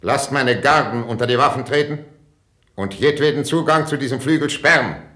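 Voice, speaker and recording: A man's voice: sits low, animated, a little slow, fairly bright tone, full character voice. An actor playing a bitter, wounded ageing king in a classical 1950s radio drama, declamatory and imperious. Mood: imperious, alarmed. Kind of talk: classical 1950s German stage-style radio acting.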